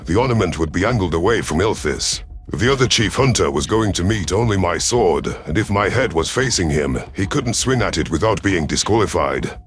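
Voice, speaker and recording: Male narrator for a sci-fi audio story: deep register, measured dramatic read, light music bed. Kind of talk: dramatic first-person scripted narration.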